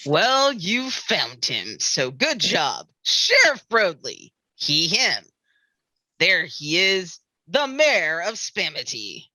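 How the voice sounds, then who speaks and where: A performer playing a wry, unhurried small-town male sheriff in a comedy table read. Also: medium pitch, huge pitch swings, bright, forceful tone, dry recording, heavily acted, low-quality recording. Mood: dry, self-important.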